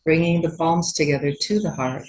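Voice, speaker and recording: A woman yoga teacher leading a guided meditation in a gentle, unhurried manner with long pauses. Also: low voice, slight background noise, rough recording.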